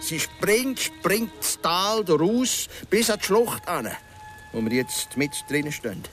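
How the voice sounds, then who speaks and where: An actor playing a philosophising local guide and storyteller in a Swiss German radio drama, speaking in a deliberate, dramatic way. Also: male voice, high voice, animated, fairly bright tone, faint music behind. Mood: grave, dramatic. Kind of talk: radio drama, telling a folk legend.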